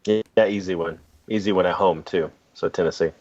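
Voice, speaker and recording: A man's voice: deep voice, steady tone, normal speed, darkish tone. A man co-hosting a sports podcast, giving short casual comments on picks and records. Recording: muffled lo-fi podcast audio.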